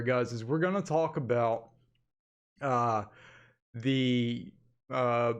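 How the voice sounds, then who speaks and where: A man with a mid-range voice hosts a casual webcam update for his channel. He talks informally and straight to his viewers, as if chatting with them. He is recorded indoors in an office on a desktop USB microphone. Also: medium pitch. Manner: informal, addresses viewers as "guys" repeatedly. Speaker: animation channel host. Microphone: desktop USB condenser microphone.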